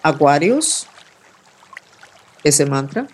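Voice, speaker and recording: A man hosting a weekly horoscope reading, giving calm, intimate advice with vivid imagery, in Spanish that is his second language. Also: on the high side, very slow. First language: not Spanish. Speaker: astrologer host.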